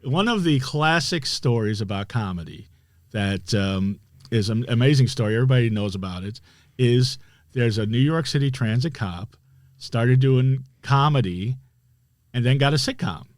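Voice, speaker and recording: A man hosting a podcast, excitable and eager, talking up his guest in a casual chat. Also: mid-range voice, normal speed, dry recording. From born in Brooklyn. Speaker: podcast host.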